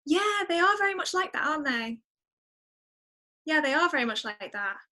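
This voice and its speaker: A woman's voice: sits high, sing-song, moderate pace, bright, forceful tone. A woman musician chatting casually as an interview guest, effusive and emphatic, repeating words for emphasis. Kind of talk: casual podcast interview chat.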